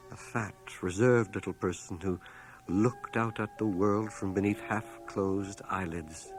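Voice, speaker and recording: An actor playing a reflective, wry older bookish man narrating his life in a radio drama, in a measured literary manner. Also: fairly low pitch, close to an everyday voice. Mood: tender, wistful. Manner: literary, measured, dryly ironic. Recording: 1980 radio drama home cassette transfer, music behind.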